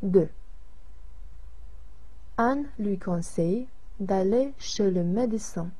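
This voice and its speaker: A woman reads out lesson instructions and exercise questions at a very slow, deliberate pace. Her voice is mellow and soft with a breathy quality, and she delivers each line evenly. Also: medium pitch, poor audio. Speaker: lesson narrator. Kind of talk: reading a French lesson and exercises.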